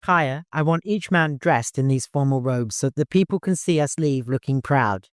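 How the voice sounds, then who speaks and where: A man voicing a kindly father and caravan leader in an audiobook, courteous, gently humorous and easily flustered. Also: medium pitch, normal speed, no room sound, clean studio sound, close to an everyday voice. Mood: instructing.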